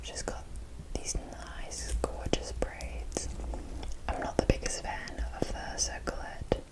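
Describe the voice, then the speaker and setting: A woman whispering as an ASMR host, rambling softly in short admiring remarks during a show-and-tell. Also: slow, rough recording.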